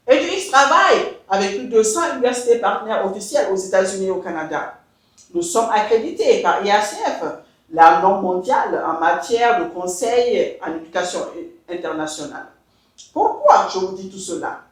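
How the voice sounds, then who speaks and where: A woman from Mali speaks in an emphatic, deliberate way, leaning on key words. Her pitch moves up and down freely. The room around her rings with a heavy echo. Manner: emphatic, deliberate, switches into English. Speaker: education consultant. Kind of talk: scripted address to camera. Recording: very echoey room.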